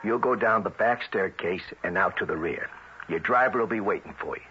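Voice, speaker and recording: A man's voice: fairly low pitch, fairly quick, natural voice. An actor playing a coarse, wisecracking gangster henchman in a radio drama, slangy and mock-polite. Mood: taunting, bossy. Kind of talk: 1970s radio drama acting. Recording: noisy, dull vintage radio recording.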